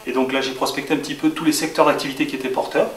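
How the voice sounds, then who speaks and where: A man's voice: medium pitch, fairly even intonation, very fast, a bit bright, distant mic. A man from southwest France answering interview questions as a franchise shop owner. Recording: echoey room, off-mic, faint hiss.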